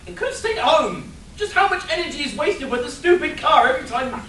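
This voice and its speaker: A sarcastic, exasperated superhero sidekick played by a man from a comic radio play. He grumbles in a high, very animated voice, picked up from afar in an echoey, noisy room. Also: a little fast, distant mic, exaggerated voice. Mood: exasperated ranting. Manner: sarcastic, grumbling, stammering starts. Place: convention hall stage.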